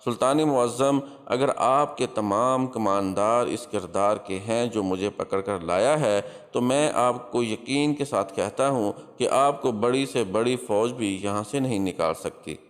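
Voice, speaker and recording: A man's voice: medium pitch, unhurried, hardly any pauses, lightly acted. A man voicing a captured crusader spymaster in a storybook tale, coolly defiant, with solemn, declamatory delivery. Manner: solemn, declamatory, emphatic address. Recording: long hall-like reverb.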